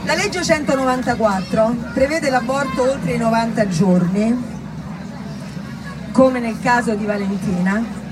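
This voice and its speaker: A woman's voice: on the high side, measured pace, a loud crowd, heavy background noise. A woman performing a dramatic theatrical monologue, telling a story emphatically in short, broken phrases.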